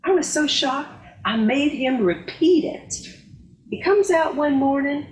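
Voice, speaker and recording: A woman acts out a combative wife airing old grievances with open scorn. She speaks slowly and emphatically, in a raised pitch that swings widely. The delivery is a sardonic dramatic monologue for the stage. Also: expressive, slight background noise. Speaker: actor in a readers theater performance.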